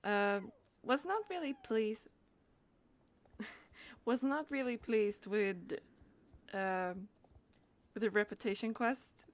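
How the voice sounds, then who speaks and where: A woman's voice: a little high, slow pace, pauses often. A woman streamer chatting casually in a hesitant, rambling, giggly way. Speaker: live streamer. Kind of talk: casual livestream chat.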